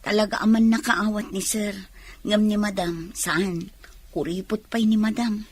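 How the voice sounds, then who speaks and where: A woman's voice: mid-range voice, fairly even intonation, a little slow, close to an everyday voice. A woman playing a feisty, protective older-sister type in a comedic radio drama, chatty and plain-spoken. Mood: conversational.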